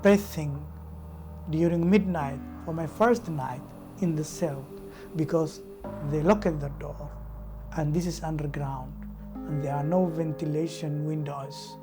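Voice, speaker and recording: Male journalist from Somalia, measured documentary testimony, music bed underneath. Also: fairly high pitch, fairly even intonation. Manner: slow, measured, halting. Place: United Kingdom.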